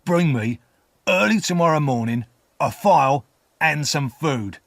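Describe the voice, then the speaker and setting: Man acting a growling, slow, menacing convict. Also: medium pitch, lively intonation, no echo, clean studio sound. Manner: forceful, commanding, slow threats. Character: rough, menacing escaped convict, fierce and threatening.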